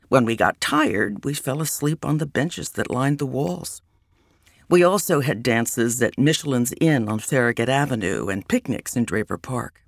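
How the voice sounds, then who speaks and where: A woman reads a memoir in an unhurried, reminiscing way, her voice gravelly with plenty of fry. Her pitch moves a lot, rising and falling through each line. The recording is dry and close, with no room sound. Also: no room sound. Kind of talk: reading a memoir aloud.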